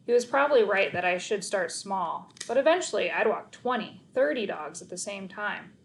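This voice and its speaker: A woman narrating a children's story aloud in an expressive read-aloud style. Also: mid-range voice, moderate pace, vocal fry, rough recording.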